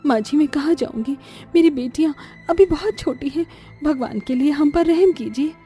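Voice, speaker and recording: Cartoon storybook role: female narrator as meek young mother, pleading and polite, mellow high voice, faint music. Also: moderate pace, lightly acted. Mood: hurt, pleading. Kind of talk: dramatized family storybook cartoon.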